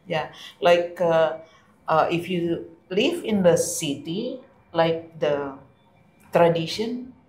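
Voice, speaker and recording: An older woman from Indonesia reminiscing in a podcast chat, hesitant with many pauses. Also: fairly low pitch, animated, a little mellow, reverberant, a little hiss or hum. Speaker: guest.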